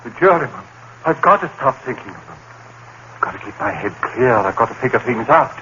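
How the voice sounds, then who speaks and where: A haunted accused killer talking to himself, bright edgy voice, dull hissy old radio sound. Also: male voice, mid-range voice, sing-song, fairly quick. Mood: agitated. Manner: brooding inner monologue, genteel and formal. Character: haunted male murder suspect, biology teacher. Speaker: lead actor. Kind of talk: 1930s radio drama acting.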